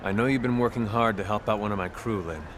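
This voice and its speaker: A man's voice: fairly low pitch, fast, noisy background, natural voice. A man playing an easygoing, helpful military crew leader in a video game cutscene. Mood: helpful, sincere.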